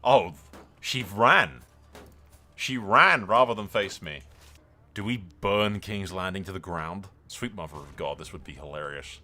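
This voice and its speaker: A man giving excited, sweary video game commentary with a theatrical, roleplaying streak. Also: low voice, measured pace, soft background music.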